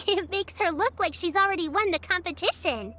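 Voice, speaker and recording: A woman voicing a tiny, excitable and cheeky fairy companion in a game, with a squeaky, chirpy voice. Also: moderate pace, loud music behind the voice. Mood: proud. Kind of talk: game voice acting.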